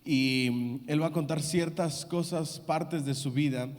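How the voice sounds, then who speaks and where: A man's voice: fairly high pitch, a little slow. Upbeat man introducing a church guest. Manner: upbeat, calls for amen and applause. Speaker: church host. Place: church hall. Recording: reverberant church hall.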